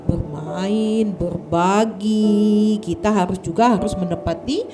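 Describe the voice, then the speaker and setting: A woman telling a children's story in a lively, sing-song way, often addressing the children as friends. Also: medium pitch, slow, music in the background.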